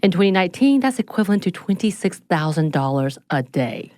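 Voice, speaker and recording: A woman with a fairly low voice, originally from Korea, co-hosts a podcast with playful, giggly asides. The dry, close studio sound has no room echo. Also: big pitch swings, brisk, darkish tone. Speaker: podcast co-host. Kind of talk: casual podcast chat.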